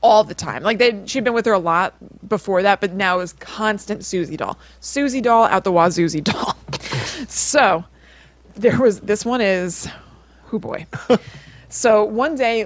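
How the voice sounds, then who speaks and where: A woman's voice: mid-range voice, expressive, moderate pace, no room sound. A woman co-hosting a podcast, telling stories in a casual, rambling way with wry, playful teasing. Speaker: podcast co-host. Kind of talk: casual chatty storytelling.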